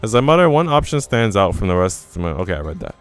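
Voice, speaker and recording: A man's voice: lowish voice, sing-song, quick pace, clean tone, faint music behind. A man reading a visual novel aloud as a let's player, acting out narration and dialogue and dropping in his own asides. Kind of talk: expressive read-aloud of story narration and dialogue.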